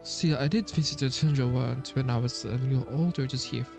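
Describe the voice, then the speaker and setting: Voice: mid-range voice, brisk, vocal fry, music in the background. A game streamer giving casual reactions and asides during a playthrough, sometimes echoing the dialogue.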